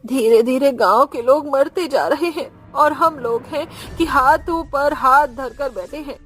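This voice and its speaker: A woman acts a worried villager in a scary story. The voice is high, anxious and earnest, with soft music in the background. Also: measured pace. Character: worried villager fretting over killings.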